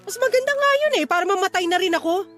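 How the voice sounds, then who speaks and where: A woman's voice: very high voice, lively intonation, fast, fairly bright tone. A woman with a Filipino accent playing a stubborn, protective young wife and mother in a radio-style drama. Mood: grieving, defiant. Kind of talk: radio-style romance drama acting in dialogue. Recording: music under the voice.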